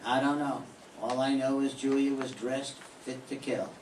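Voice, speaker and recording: A man's voice: medium pitch, fairly even intonation, moderate pace, lightly acted. An older man playing a hard-boiled, wisecracking male private eye in a 1940s-style radio drama, with clipped, dry tough-guy lines. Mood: terse. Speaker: amateur radio drama student. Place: classroom. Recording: roomy classroom from a distant mic, faint hiss.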